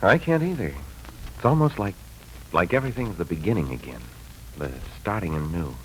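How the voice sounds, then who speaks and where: A man's voice: low-pitched, big pitch swings, a little fast. An actor playing an earnest, folksy young husband and father in a 1940s radio drama. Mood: halting, uneasy. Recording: slightly noisy 1940s radio recording.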